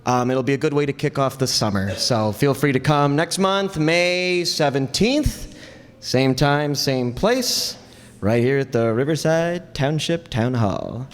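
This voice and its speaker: A man's voice: medium pitch, animated, measured pace, faint music behind. A man giving a casual, joking live announcement as director of an amateur theater group. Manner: informal, joking. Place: town hall stage, before an audience. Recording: echoey town hall live recording.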